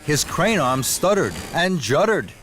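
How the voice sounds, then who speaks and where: A man narrating a scripted children's story in an expressive, deliberate storytelling manner. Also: on the high side, noisy background. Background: music.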